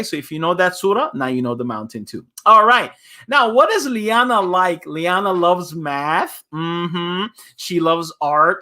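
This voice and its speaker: A man's voice: on the high side, fairly bright tone. A man hosting a live children's story time as an imam, chatting in a playful, folksy, teacherly way. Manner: playful, folksy, teacherly with repeated "okay?" check-ins. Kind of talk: live children's read-along with casual chat.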